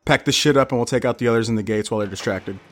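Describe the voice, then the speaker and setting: A man's voice: mid-range voice, racing, close to an everyday voice. A man playing a cold, commanding professional scavenger in a post-apocalyptic audio drama. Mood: cold, calculating. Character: cold, professional scavenger villain giving orders.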